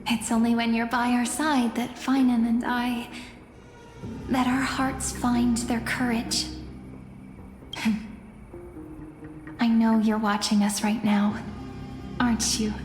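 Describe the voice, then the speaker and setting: A woman playing a gentle, earnest young village girl in a video game, speaking tenderly and wistfully. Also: fairly high pitch, flat delivery, takes it slowly, pauses often. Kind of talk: dramatic scripted game acting. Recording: echoey long reverb under music.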